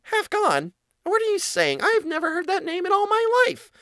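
A small female mouse from a fairy tale, acted out by a man. The voice is squeaky and pitched far up, with lively swings. She sounds trusting and easily worried, full of questions and exclamations. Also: normal speed, dry recording, studio quality, exaggerated voice. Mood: astonished.

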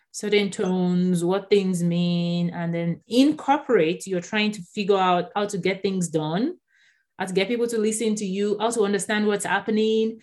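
Animated Nigerian-born woman author. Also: mid-range voice. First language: Yoruba. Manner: animated, laughs often, repeats words when starting a thought. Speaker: author, guest. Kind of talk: conversational interview.

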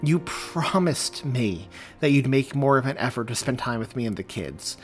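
A man's voice: mid-range voice, lively intonation, moderate pace, soft background music, lightly acted. A man reading aloud as a wife and mother who grows irate and hurt, her voice near breaking. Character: upset woman, wife and mother.

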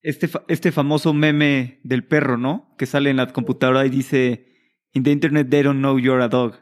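Calm male interviewer asking questions. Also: mid-range voice. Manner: measured, prompting questions.

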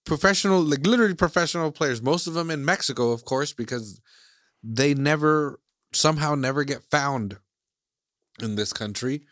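A man's voice: fairly high pitch, normal speed, fairly bright tone, no echo, clean studio sound. A man from Iceland, co-hosting a casual chat, who rambles and keeps circling back to his points.

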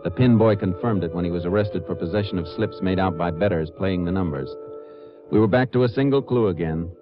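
Actor as a low-voiced, terse Texas Ranger. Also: male voice, brisk, music in the background, natural voice. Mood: grave narration. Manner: terse, matter-of-fact, authoritative. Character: steady, businesslike Texas Ranger investigator. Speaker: lead actor and narrator in a radio drama. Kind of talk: 1950s radio western acting. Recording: dull 1950s radio recording.

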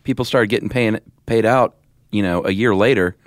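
Male American podcast co-host, lowish voice, laid-back casual explainer, dry studio-close sound. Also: moderate pace, no echo.

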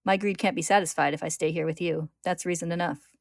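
A woman's voice: on the low side, steady tone, fast talker, creaky, dry recording, clean studio sound. A woman voicing a cocky, defiant male homunculus with a snarling, taunting manner in a dramatic story reading. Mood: defiant, sneering. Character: cocky, defiant male homunculus, sneering, reckless rebel son.

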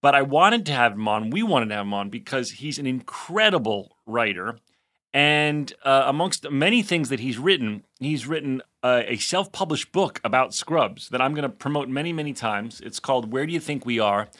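Man, podcast co-host, teasing banter, bright voice, medium pitch. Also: lively intonation, normal speed. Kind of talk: casual chat.

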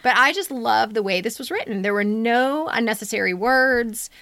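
A woman's voice: a little high, expressive, moderate pace, noisy background. A woman co-hosting a podcast, talking with enthusiasm in a studio as she gives commentary. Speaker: podcast co-host. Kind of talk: casual discussion and commentary. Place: recording studio.

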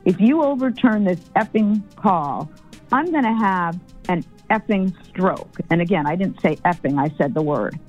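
Loud, forthright woman telling a story. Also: lowish voice, expressive, normal speed, lo-fi sound, soft background music. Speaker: former football team executive. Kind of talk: documentary interview.

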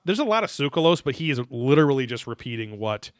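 A man in his thirties talks as a podcast host in a loose, rambling recap style. His medium-pitched voice is recorded dry and close, and he swears casually as he goes, slipping into theatrical readings of quotes. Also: very animated, quick pace, dry recording. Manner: rambling, profane, reads quotes aloud theatrically. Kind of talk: casual episode recap chat.

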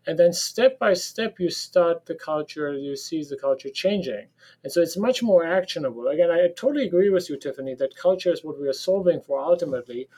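A man's voice: on the high side, animated, a little fast, dark tone, low-quality recording. A man with a German accent, a professor answering interview questions in a direct, opinionated way and laughing often. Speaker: professor of operations management. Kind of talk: podcast interview guest.

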